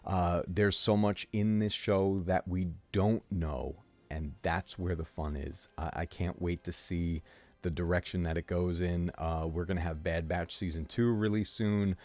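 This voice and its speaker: A man's voice: low-pitched, steady tone, unhurried, darkish tone. A male gaming streamer chatting casually to his viewers, full of ums and uhs, with a sore throat and a fading voice. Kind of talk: casual live-stream chat.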